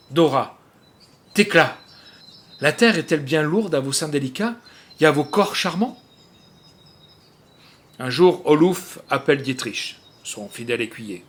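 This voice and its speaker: A man narrating a literary short story in a dramatic, expressive manner. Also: a little high. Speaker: audiobook narrator.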